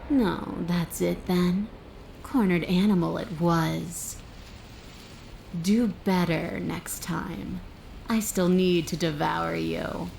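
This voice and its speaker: A woman playing a predatory, hungry monster princess in a video game, speaking in a cold, taunting, gravelly way. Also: on the low side, steady tone, takes it slowly, a little mellow, a little hiss or hum, heavily acted, rough recording. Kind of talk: video game character acting.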